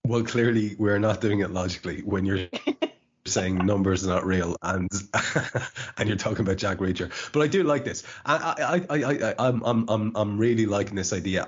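A man's voice: on the low side, breathy edge, lo-fi sound. A man hosting a casual podcast chat, stammering and repeating words as he talks. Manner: stammering, repeats words, laughs while talking. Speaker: podcast host.

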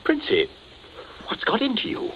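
High-voiced blustering gentleman, old radio. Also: wildly swooping pitch, normal speed. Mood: flustered. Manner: stammering, flustered, exclamatory. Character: blustering, nervous gentleman, cornered and pleading. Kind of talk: 1940s radio suspense acting. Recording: muffled, noisy 1940s radio recording.

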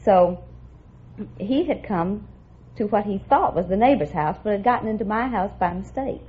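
A woman's voice: mid-range voice, animated, moderate pace. A woman from Texas as a quiz show contestant, answering politely and telling her story plainly. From Texas, United States. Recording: muffled, faintly noisy vintage radio recording.

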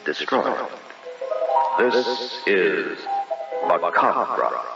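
An actor playing the grave narrator of an eerie horror anthology in old radio drama style, speaking in a measured, portentous way. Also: male voice, lowish voice, big pitch swings, creaky, far from the mic, full character voice. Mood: ominous. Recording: muffled echoey old radio recording, loud music.

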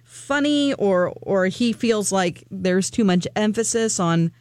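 A female radio co-host telling a story in a breezy, chatty way. Her voice sits on the low side for a woman, and the sound is very dry and close. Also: animated, slow pace, a little mellow, smooth, no echo. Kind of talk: casual news chat on air.

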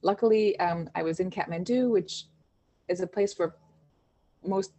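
A woman, an education director, answering interview questions in a relaxed storytelling way, with fillers and restarts. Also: fairly low pitch, unhurried. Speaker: Montessori education director, guest.

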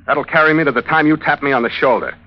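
A man's voice: a little high, fast, lightly acted. Man acting a tough, sardonic American sea captain, old radio. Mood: sardonic, defiant. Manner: terse, wisecracking. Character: tough, sardonic male sea captain, narrating his adventure. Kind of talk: old-time radio drama, hard-boiled first-person narration. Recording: dull vintage radio recording, music behind.

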